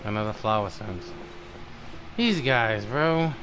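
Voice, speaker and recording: A man streaming a game, giving casual live commentary in a halting, muttering way as he thinks aloud. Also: lowish voice, animated. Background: game music.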